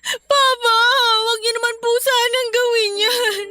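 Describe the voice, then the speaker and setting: Woman acting a very high-pitched pleading Filipino wife. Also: steady tone, unhurried, pushed and bright, soft background music, exaggerated voice. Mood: pleading, sad. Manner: pleading, tearful. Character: distraught, forgiving young wife pleading for her husband.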